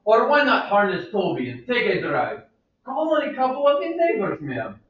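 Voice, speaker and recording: A man's voice: extremely high pitch, huge pitch swings, normal speed, full character voice. A man playing a fussy old servant who coaxes and scolds his mistress. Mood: coaxing. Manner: coaxing, scolding, keeps saying ma'am. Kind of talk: amateur play reading. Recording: echoey room, far from mic, dull.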